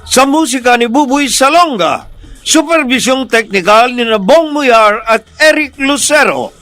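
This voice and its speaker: A woman radio drama announcer with a very high voice. She reads formally and slowly, presenting in a measured way over music. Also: lively intonation, a bit bright, no room sound.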